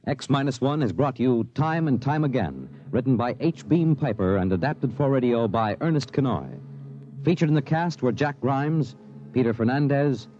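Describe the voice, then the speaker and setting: Dramatic American radio announcer, man, very animated. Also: mid-range voice, lo-fi sound. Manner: dramatic, formal, measured. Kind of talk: 1950s radio announcing, scripted. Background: music.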